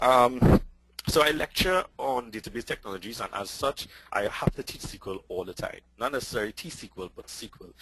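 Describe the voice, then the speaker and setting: Fast-talking Trinidadian man presenting. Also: medium pitch, husky, dry recording, low-quality recording. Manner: fast, excitable delivery with many fillers. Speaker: presenter and database lecturer. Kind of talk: webinar technical presentation.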